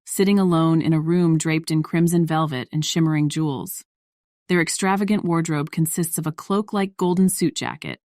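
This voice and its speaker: A woman's voice: low voice, darkish tone, no echo, studio quality. A woman narrator reading scripted story narration for an audio drama.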